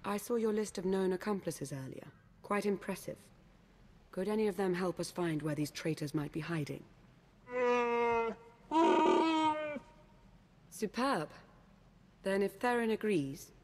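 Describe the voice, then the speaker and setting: Game villain-turned-ally played by an actress: poised, shrewd dark lady, formal and courteous, slightly low voice. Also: normal speed, natural voice. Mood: pleased, courteous. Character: poised, shrewd female Sith Lord intelligence agent. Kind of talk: video game cutscene acting.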